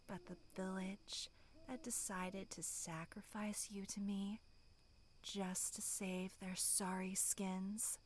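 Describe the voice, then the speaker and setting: A woman voicing a bloodthirsty female demonic monster in a breathy, menacing whisper for a horror ASMR roleplay, sinister yet tender. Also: mid-range voice, little pitch movement, slight background noise, lightly acted. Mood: soft, menacing. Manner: slow, drawn-out, menacing whispering.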